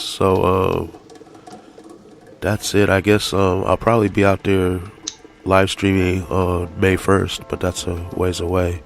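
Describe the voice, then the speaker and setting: A man with a very low, gravelly and flat voice hosts a casual talk show. He sounds stuffy from allergies and rambles loosely, trailing off into fillers. Faint music plays behind him. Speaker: podcast host. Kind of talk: casual chat.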